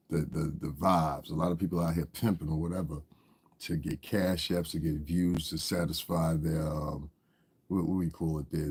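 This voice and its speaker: A man's voice: extremely low pitch, darkish tone, husky. A man with a stuffy cold, giving rambling casual livestream commentary to his viewers, often checking they understand. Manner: rambling, talks straight to viewers, often asks "you understand". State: congested from a cold.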